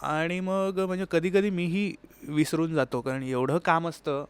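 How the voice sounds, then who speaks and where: A man's voice: fairly high pitch, fairly even intonation, measured pace, clean tone. A man, an interview guest explaining his experiences conversationally and mixing in English words. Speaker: interview guest, sitar player.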